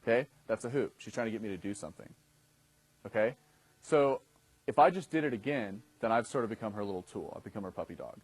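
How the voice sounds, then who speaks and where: A man in his thirties leads a class, his voice a touch low and slightly husky. He talks casually and keeps pausing to check that his listeners are following. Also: lively intonation, no room sound. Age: 30s. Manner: conversational, often checks in with "okay?". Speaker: dating coach instructor. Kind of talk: instructional talk to a class.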